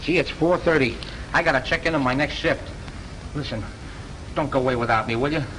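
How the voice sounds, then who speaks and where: Actor as slangy studio gate guard, wisecracking, mid voice, hissy 1930s film sound. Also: male voice, medium pitch, brisk, a bit bright, close to an everyday voice, low-quality recording. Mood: joking. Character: chatty, slangy working-class studio guard. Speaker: studio gatekeeper.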